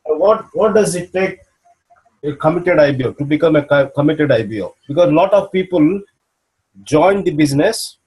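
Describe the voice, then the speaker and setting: A man's voice: a little high, animated, normal speed, darkish tone, rough recording. A man coaching on a video call. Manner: patient, checks in with "okay?" after each point. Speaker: business mentor.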